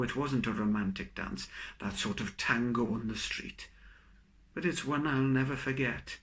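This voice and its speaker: Guy doing a funny poem reading, theatrical, voice swooping up and down, mid pitch. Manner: theatrical, expressive storytelling. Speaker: poet reading own work. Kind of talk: dramatic comic poetry recital.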